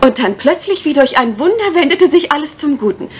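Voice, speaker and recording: A genteel young lady in a vintage mystery radio drama, her voice fairly high. She tells her troubles politely and hesitantly, over a faint layer of old recording noise. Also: big pitch swings, fairly quick. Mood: resigned, recounting. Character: anxious, well-bred young English parson's daughter, now landlady. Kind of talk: 1980s German mystery radio play acting.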